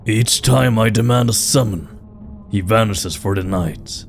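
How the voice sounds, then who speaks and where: A man acts out a proud troll king of a stone people in a fantasy audio drama. His voice is very low and he talks slowly and formally, with grave authority. Music plays clearly underneath. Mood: solemn. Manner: stately, formal, deliberate. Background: background music.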